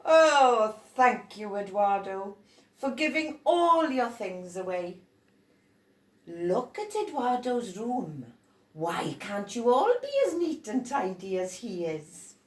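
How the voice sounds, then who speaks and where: A woman's voice: mid-range voice, very animated, slow. A woman voicing an admiring grown-up in a children's story, with effusive, emphatic exclamations. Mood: grateful. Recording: roomy echo, distant from microphone.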